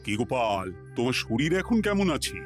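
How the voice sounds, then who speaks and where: A man's voice: medium pitch, sing-song, a little fast, over loud music, lightly acted. Man playing a stately, kindly cartoon king. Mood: inquiring. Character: kindly, authoritative middle-aged king. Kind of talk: cartoon voice acting.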